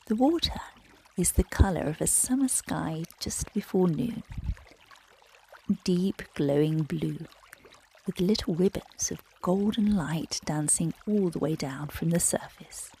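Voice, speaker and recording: Slow, hushed woman telling a bedtime story. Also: lowish voice, slight background noise. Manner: gentle, soothing, hushed and breathy, often near whispering. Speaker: meditation guide and bedtime storyteller. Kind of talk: guided relaxation and bedtime story narration for children.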